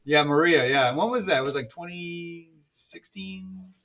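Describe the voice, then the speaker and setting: A man's voice: a little high, moderate pace, a bit bright. A man hosting a podcast with his ESL class, joking and teasing students in casual chat.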